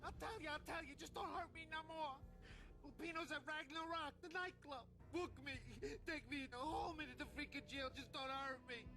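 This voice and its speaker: An actor playing a cowardly, whiny, foul-mouthed mob crook in a crime video game, blustering and pleading in a shrill voice. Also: male voice, moderate pace, over music. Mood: pleading, scared.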